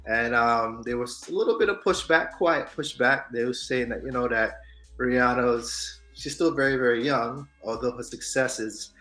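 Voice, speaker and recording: A man co-hosting a casual news and culture show, animated, swinging between loud hype and soft asides. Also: medium pitch, moderate pace. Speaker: podcast co-host. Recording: echoey room with faint music.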